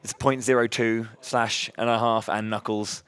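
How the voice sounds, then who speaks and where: A man hosting a live charity gaming marathon, loud-voiced and upbeat, chatting between runs with many fillers and restarts. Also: fairly low pitch, level tone, moderate pace, smooth.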